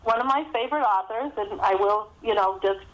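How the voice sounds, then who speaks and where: A woman's voice: medium pitch, a little fast, lo-fi sound, slight background noise. A woman speaking as a guest author in a casual podcast interview, chatty and self-deprecating.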